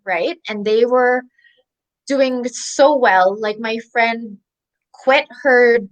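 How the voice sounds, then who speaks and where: A woman in her thirties speaks as an interview guest, her voice sitting somewhat high. She talks in a chatty, easygoing way, often restarting mid-sentence. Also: drawn-out words, low-quality recording. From Philippines. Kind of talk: casual interview.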